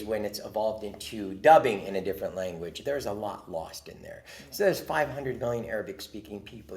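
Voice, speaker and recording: A man from Jordan, a podcast guest, telling an earnest, expressive story in casual conversation. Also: medium pitch.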